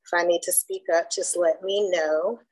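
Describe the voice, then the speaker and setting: A middle-aged woman from North Carolina, soft-spoken and measured, presenting research to a coalition over a webcam call from a room at home. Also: on the low side, fairly even intonation, low-quality recording. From Durham, North Carolina. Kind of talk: informational presentation on a video call. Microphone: video call webcam.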